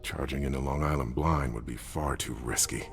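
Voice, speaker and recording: An actor playing a stern, cautious vampire adviser in a game cutscene, blunt and serious, with a gravelly voice. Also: male voice, rumbling low, fairly even intonation, normal speed. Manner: blunt, serious, matter-of-fact. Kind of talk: dramatic video game cutscene acting.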